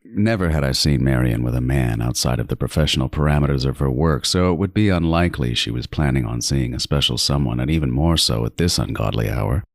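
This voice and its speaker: An actor playing an obsessive, predatory serial killer narrating a horror audio drama, brooding and intense, slipping into whispers. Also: male voice, rumbling low, animated, a little fast, dry recording, studio quality.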